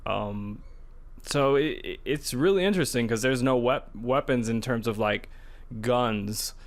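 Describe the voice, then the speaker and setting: A man's voice: mid-range voice, measured pace. A man co-hosting a podcast, chatting casually in a hesitant, loosely rambling way. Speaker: podcast co-host. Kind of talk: casual chat about video games.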